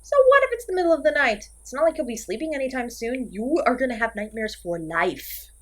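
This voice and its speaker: Woman acting a high-pitched, melodramatic failed slasher. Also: sing-song, a little fast, a bit bright. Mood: deflated, awkward. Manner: theatrical, exasperated, swings between sulky and manic. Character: failed slasher killer, woman, melodramatic, insecure, petulant, comically chatty. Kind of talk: comedic horror audio roleplay, acted monologue to listener.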